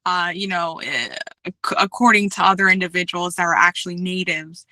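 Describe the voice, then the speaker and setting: A woman candidate talks through her past roles on a video call. Her voice is bright and clear, and she chooses each word with care, with many ums and restarts. The sound is close and dry. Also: on the low side, steady tone, dry recording. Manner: measured, many fillers, careful restarts. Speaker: job candidate. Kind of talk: formal job interview answers.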